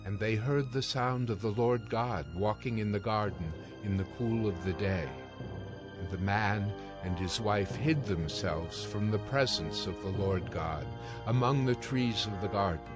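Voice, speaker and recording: Man reading scripture as narrator, fairly deep voice, music playing behind. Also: unhurried.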